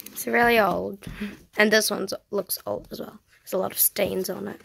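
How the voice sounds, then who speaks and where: A woman's voice: mid-range voice, fairly even intonation, normal speed, creaky, fairly bright tone, slight background noise. A young girl helping lead a casual video tour of her home, chiming in to finish and correct her sibling's lines. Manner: chimes in to finish and correct the other speaker. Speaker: co-host of house tour. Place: inside a house.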